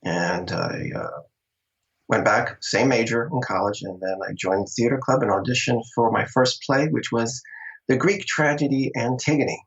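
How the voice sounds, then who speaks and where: A man's voice: medium pitch, normal speed. A middle-aged man from Long Island telling stories about his acting start in a casual interview, chatty and laughing often. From Long Island, New York. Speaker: interview guest, actor. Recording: dull, lo-fi sound.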